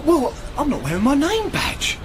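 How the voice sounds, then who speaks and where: A woman's voice: high-pitched, wildly swooping pitch, normal speed, over music. A woman playing a goofy, evasive shop worker in a radio comedy drama. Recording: clear background ambience noise.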